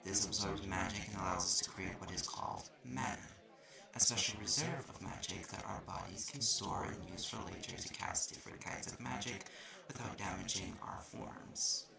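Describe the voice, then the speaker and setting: A man's voice: low-pitched, moderate pace, gravelly, echoey room, crowd noise. A man playing a haughty, slightly mean magic-university student in an audio roleplay, explaining things in a curt, condescending way. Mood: haughty, explanatory. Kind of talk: audio roleplay acting addressed to the listener. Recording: noisy recording with clicks.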